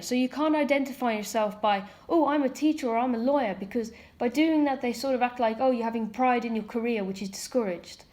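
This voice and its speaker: A woman's voice: high voice, darkish tone. A woman from Ireland talking candidly in a casual monologue, using frequent fillers and mocking asides. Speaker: ex-member commentator.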